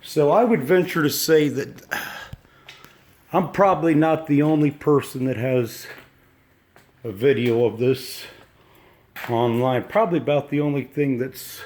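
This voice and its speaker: A man with a slightly froggy voice from allergies, giving halting, musing, folksy commentary as he walks around exploring with a handheld camera. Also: mid-range voice, a little mellow. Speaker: urban exploration video maker. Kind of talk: casual unscripted walk-through commentary. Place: walking around an abandoned park site.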